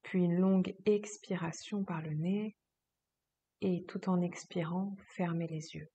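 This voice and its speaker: A woman leads a guided relaxation as a yoga teacher. Her voice is slightly low, very mellow and soft, with a breathy, husky edge. She gives each instruction slowly and soothingly, leaving long pauses between phrases. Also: steady tone, dull audio.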